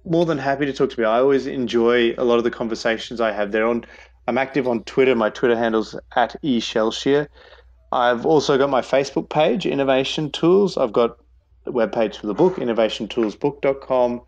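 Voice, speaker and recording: Aussie guy on a podcast, eager, kinda muffled lo-fi audio, mid pitch. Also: fairly quick, a little mellow. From Australia. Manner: enthusiastic, repeats words when restarting. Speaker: guest, innovation expert. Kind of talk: podcast interview. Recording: dull lo-fi sound.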